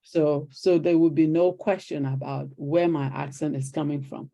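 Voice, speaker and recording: Older Nigerian woman, guest storyteller, rough-edged mellow tone. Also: low voice, moderate pace, dull audio. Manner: animated storyteller acting out quoted exchanges. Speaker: guest, retired teacher. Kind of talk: conversational interview storytelling.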